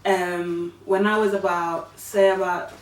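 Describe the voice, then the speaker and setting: Woman in her 30s, from Uganda, soft-spoken, hesitant, lowish voice, far from mic. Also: flat delivery, takes it slowly. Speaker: guest. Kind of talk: casual storytelling. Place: living room, sitting on a sofa. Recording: echoey room, far from mic, faint hiss.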